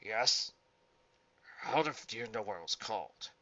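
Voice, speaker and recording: A man voicing an angry, impatient adventurer archaeologist in a storybook, gruff and demanding. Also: on the low side, lively intonation, normal speed, pushed and bright, lo-fi sound, heavily acted. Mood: baffled, demanding.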